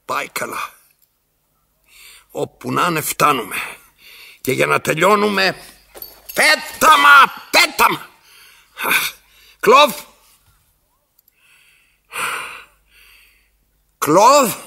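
Gravelly, theatrical bitter old man. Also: sits high, animated, long pauses, pushed and bright, full character voice. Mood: resigned, then calling out. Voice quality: raspy, gravelly. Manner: declamatory, self-narrating, broken into short pronouncements. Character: bitter, weary old man, theatrical tyrant at life's end. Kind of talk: radio theatre acting, dramatic monologue. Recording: archival radio drama recording.

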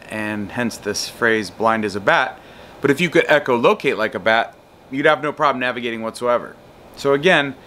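A man's voice: medium pitch, pushed and bright, slight background noise. A man in his thirties giving a recorded psychology lecture outdoors in a backyard, explaining with a guitar and a whiteboard. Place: outdoors in a backyard patio and garden.

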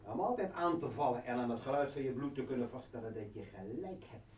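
A man's voice: mid-range voice, animated, a little fast, darkish tone, close to an everyday voice. Guy acting a bitter drunk poet in an old radio play, taunting, swings up and down, roomy sound. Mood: bitter brooding. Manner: mocking, sardonic, theatrical. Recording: echoey, distant, dull, faintly noisy radio recording.

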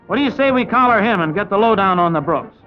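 A man's voice: high voice, animated, fast. A man working as a reporter, delivering 1950s sports newsreel narration and commentary. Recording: dull vintage 1950s newsreel sound, music behind.

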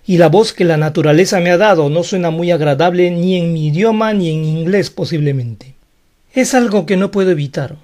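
Frank, high-voiced male Spanish-speaking teacher. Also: brisk, darkish tone. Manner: frank, defensive, explanatory. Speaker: English teacher. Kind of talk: online lesson monologue.

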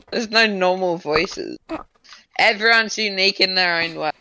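A man's voice: high voice, unhurried, pushed and bright, no echo, low-quality recording. A teenage boy chatting on a friend's gaming livestream, giggly and playful, acting mock offended. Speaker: co-host friend on stream. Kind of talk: casual gaming livestream chat.

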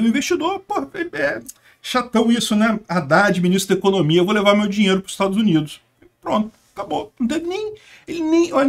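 High-voiced sarcastic Brazilian commentator man. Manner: sarcastic, emphatic, scornful asides. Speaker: political commentary host. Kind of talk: casual political commentary over screen share.

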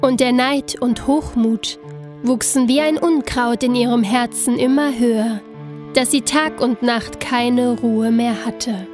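A woman narrating a scripted fairy tale in an expressive, storybook manner. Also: a little high, faint music behind. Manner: expressive, unhurried storybook telling.

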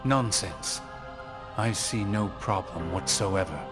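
An actor playing a cold, stern second-in-command in a video game, clipped, formal and humorless. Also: male voice, on the low side, takes it slowly, over music. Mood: icy disdain. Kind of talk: video game cutscene acting.

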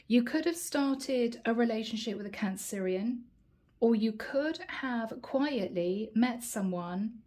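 A woman reading tarot cards at home and talking casually to her viewers, her voice a little hoarse from a long day. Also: medium pitch. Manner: chatty, warm address to viewers, frequent "guys" and "okay". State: slightly hoarse, losing her voice. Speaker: tarot reader. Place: indoor home room, table with plants.

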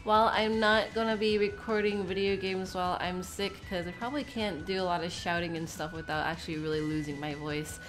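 A woman's voice: fairly low pitch, level tone, brisk, hardly any pauses, soft background music. A sick young woman with a stuffy, nasal voice, chatting casually in a small room while unpacking a bag, rambling with lots of fillers. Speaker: vlogger. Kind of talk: casual unboxing chat.

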